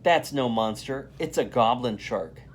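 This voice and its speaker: A man voicing an adventurous, upbeat woman science teacher in a storybook, explaining and announcing things brightly. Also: mid-range voice, lively intonation, measured pace, slight background noise, natural voice. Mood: upbeat, eager.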